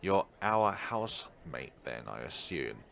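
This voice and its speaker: A man's voice: sits low, very animated, takes it slowly, vocal fry, fairly bright tone, full character voice. A man voicing a protective half-elf alchemist in a visual novel, blunt and cutting. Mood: indignant, cutting.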